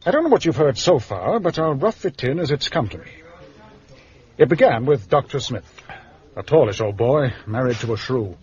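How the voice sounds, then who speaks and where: Brisk police detective male actor, radio drama: slangy, telegraphic, lively intonation, slightly dull sound. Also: mid-range voice, lightly acted. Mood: brisk, businesslike. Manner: clipped, telegraphic, vivid slangy reporting.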